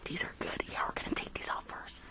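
A woman whispering close to the mic in an ASMR roleplay as a rude dentist, halting and repeating herself with dismissive reassurance. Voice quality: breathy whisper with creaky edges.

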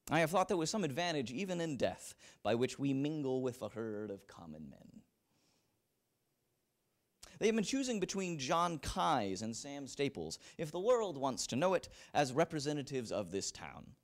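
Young man reading letters aloud, animated pitch. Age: young adult. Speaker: reader at a library event. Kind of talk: dramatic reading of historical letters. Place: indoor event room with small audience. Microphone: handheld microphone.

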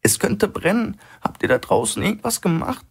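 A man's voice: high-pitched, very animated, normal speed, creaky, slightly rough, pitched above the performer's own voice, full character voice. A man voicing a wary hostage in a thriller audiobook, with a sceptical, questioning way of talking. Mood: alarmed.

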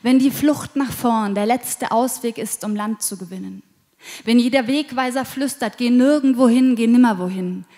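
A woman's voice: fairly high pitch, moderate pace, warm tone. A woman in her twenties reciting rhymed spoken-word poetry, rhythmic and earnest. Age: 20s. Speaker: slam poet. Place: live stage in an indoor event hall. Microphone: mic on stand. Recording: reverberant hall.

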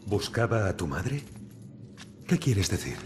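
A cocky male ghost detective from a mystery game, with a lowish voice and very lively pitch. His Castilian Spanish is wry and insistent, over faint background noise. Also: slow pace. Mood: probing. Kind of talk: video game cutscene dubbing.

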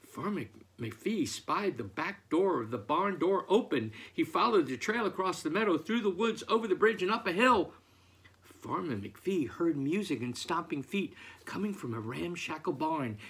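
Guy in his 60s reading stuff aloud like a storybook, bit raspy, really up and down. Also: fairly high pitch, expressive, normal speed, a bit bright. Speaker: picture book author. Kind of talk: expressive picture book read-aloud and reading out letters. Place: book-lined room at home, indoors.

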